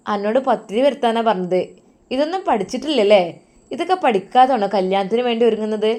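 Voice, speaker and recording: A woman voicing a sharp-tongued older mother-in-law who nags and taunts in a raised, loud voice. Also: medium pitch, close to an everyday voice.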